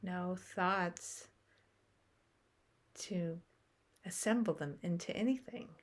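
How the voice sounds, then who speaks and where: A woman guiding a meditation in a soft, unhurried way, with long pauses between short phrases. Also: on the low side. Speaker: meditation guide. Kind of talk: guided meditation.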